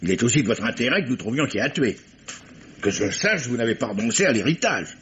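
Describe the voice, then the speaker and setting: An actor playing a dogged, polite but insinuating police commissioner who probes with stammering courtesy, in vintage French radio mystery style. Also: male voice, medium pitch, very animated, fast, a bit bright. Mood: dry, needling. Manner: hesitant stammering courtesy, probing. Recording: dull vintage radio recording, faint hiss.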